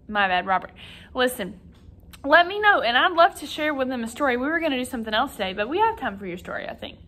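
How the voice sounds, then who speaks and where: A woman's voice: high-pitched, big pitch swings, fast, sharp tone. A woman music teacher speaking expressively to young children in an online lesson and story read-aloud.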